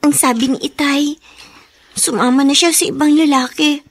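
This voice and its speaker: A very high, girlish voice in a Filipino radio drama. She is an abandoned daughter, emotional and plaintive, with a resolute streak. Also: steady tone, normal speed. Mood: bitter, resolute. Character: distressed daughter abandoned by parents, tearful but resolute.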